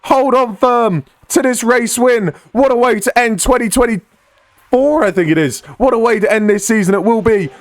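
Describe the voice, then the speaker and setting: A young man commentating his own racing game in a rapid, excited way, shouting at the finish and losing his voice. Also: very high-pitched, no room sound. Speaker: gaming YouTuber. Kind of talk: excited race commentary over gameplay.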